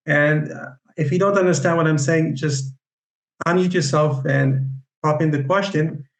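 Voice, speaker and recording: A man with an Israeli accent giving an online lecture on Chinese medicine, speaking haltingly with many fillers and restarts. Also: a little high, fairly even intonation, reverberant, rough recording. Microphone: video call.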